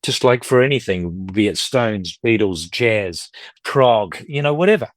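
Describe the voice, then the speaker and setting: A man's voice: on the low side, expressive, measured pace, dry recording. A middle-aged man talking casually and at length as an interview guest, rambling through anecdotes and acting out what others said. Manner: talkative, rambling, anecdotal, quotes others' speech. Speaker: podcast guest, record collector and dealer.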